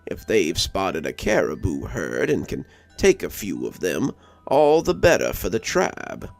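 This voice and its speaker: A man voicing a grave, watchful army sentry with a measured manner in an audio story. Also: low-pitched, huge pitch swings, vocal fry, faint music behind, pitched below the performer's own voice, heavily acted. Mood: matter-of-fact.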